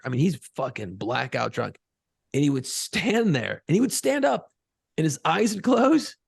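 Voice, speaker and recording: A man's voice: a little high, gravelly, a little mellow, husky, no room sound, clean studio sound. A middle-aged man co-hosting a podcast, telling rambling, profane stories in a laid-back way. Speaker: podcast co-host and pirate tour guide. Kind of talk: casual podcast chat.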